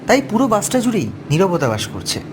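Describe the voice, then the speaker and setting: A man's voice: high voice, wildly swooping pitch, a little fast, noisy background. A man narrating a first-person horror story from a script. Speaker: narrator of an animated ghost story.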